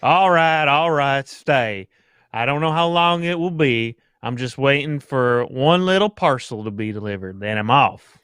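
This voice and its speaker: A man playing a gruff, impatient, suspicious father in an old-time radio drama, talking in a curt, blustery way. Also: mid-range voice, lively intonation, measured pace, fairly bright tone, dry recording, clean studio sound. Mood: grudging, wary.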